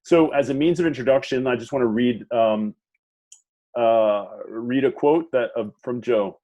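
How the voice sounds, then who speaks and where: A man moderating an online talk, speaking haltingly with many fillers and restarts. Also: mid-range voice, mellow, muffled recording. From Washington, D.C. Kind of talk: hesitant conversational introduction.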